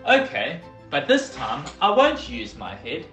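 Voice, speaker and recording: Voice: medium pitch, wildly swooping pitch, a little slow, a bit bright, roomy sound, soft background music. A storybook reader voicing an eager, self-doubting young male cat in an earnest, exclamatory way.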